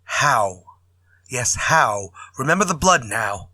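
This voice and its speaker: A man's voice: mid-range voice, very animated, slow pace, bright, forceful tone, husky, full character voice. Man acting a tense, desperate radio-drama schemer. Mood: fearful. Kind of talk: old-time radio horror acting.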